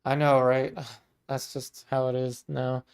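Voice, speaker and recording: Relaxed chatty man with a gravelly voice. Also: medium pitch, slow pace. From Maryland, USA. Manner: relaxed and chatty, many ums and restarts, warm thanks to viewers. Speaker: art teacher livestreaming a painting session. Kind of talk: casual livestream chat, reading viewer comments.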